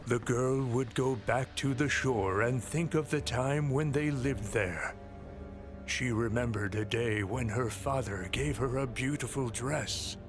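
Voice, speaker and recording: A man's voice: medium pitch, measured pace, natural voice. A man playing a solemn storyteller, narrating a legend in a video game in storybook style. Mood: wistful. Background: music.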